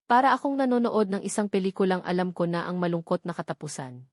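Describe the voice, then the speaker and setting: A woman's voice: medium pitch, a little mellow, no room sound. Woman narrating a dramatic story, dry recording. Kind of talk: dramatic scripted first-person story narration.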